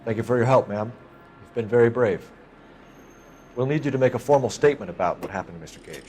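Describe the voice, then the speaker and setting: An actor playing a stern, relentless police officer in a video game, questioning in a clipped, formal and forceful manner. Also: male voice, medium pitch, fast talker, a little hiss or hum, close to an everyday voice. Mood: firm, formal. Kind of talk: dramatic video game acting.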